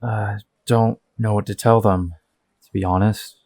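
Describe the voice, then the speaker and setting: A man's voice: lowish voice, fairly even intonation, unhurried, a little mellow. A man playing a hesitant, awkward young superhero who is recovering and downcast, in an audio drama.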